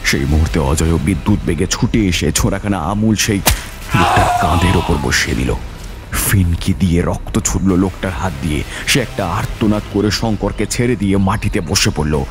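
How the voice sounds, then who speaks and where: Very deep male suspense storyteller. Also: moderate pace, loud music behind the voice. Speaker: story narrator. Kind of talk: dramatic scripted narration of adventure story.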